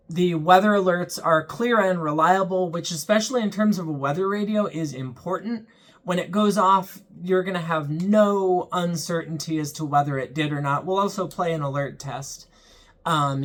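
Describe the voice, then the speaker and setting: Earnest young man reviewing gear. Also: a little high. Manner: earnest and plain-spoken, with frequent ums. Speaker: channel host reviewing a weather radio. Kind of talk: casual product review to camera.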